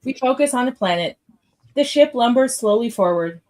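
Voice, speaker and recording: A woman's voice: a little high, lively intonation, normal speed, darkish tone. A woman reading stage directions as the narrator of a live script read.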